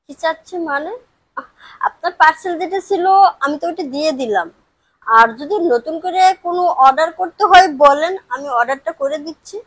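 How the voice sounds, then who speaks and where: High-pitched, insistent female parcel courier, acted by a woman. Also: a little fast, fairly bright tone, no room sound, poor audio. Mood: flustered, impatient. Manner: insistent, protesting. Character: young female parcel courier, hurried, indignant, standing her ground. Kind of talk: amateur audio drama acting.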